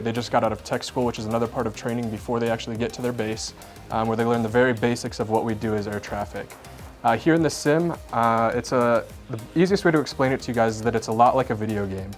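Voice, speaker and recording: A young American man giving an informal, explanatory tour as an air traffic controller, speaking in a plain, conversational way. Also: mid-range voice.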